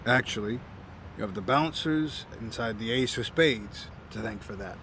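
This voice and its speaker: A man's voice: lowish voice, moderate pace, fairly bright tone, a little hiss or hum. An actor playing an easygoing, kindly homeless man in an audio drama, with a folksy, teasing manner. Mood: reassuring, friendly.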